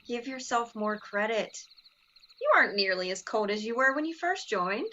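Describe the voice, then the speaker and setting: A woman playing an encouraging young sorority sister in a dramatic reading, gentle yet authoritative. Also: a little high, expressive, normal speed, lo-fi sound, a little hiss or hum.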